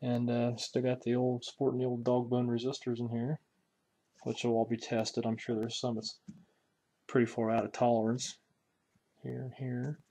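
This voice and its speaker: Male hobby restorer, medium pitch. Folksy, off-the-cuff bench commentary, musing aloud as he works. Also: completely flat delivery, normal speed, warm tone, breathy edge. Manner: folksy, musing aloud while handling parts. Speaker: hobbyist radio restorer presenting a repair video. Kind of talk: casual unscripted hands-on walkthrough.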